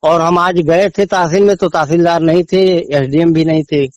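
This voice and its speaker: A village man speaks as a caller with a complaint on a recorded phone line, his voice a little high and free of echo. His eastern Uttar Pradesh accent comes with a halting, respectful way of answering. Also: fairly even intonation. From Azamgarh region, India. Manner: deferential, halting. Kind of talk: answering questions in a phone call. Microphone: recorded phone call.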